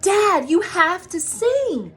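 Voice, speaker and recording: A woman voicing a sweet, excitable grown male Christmas elf in an earnest children's storybook read-aloud. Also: extremely high pitch, takes it slowly, a little hiss or hum, voice pushed up, exaggerated voice. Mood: excited. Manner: exclamatory, earnest.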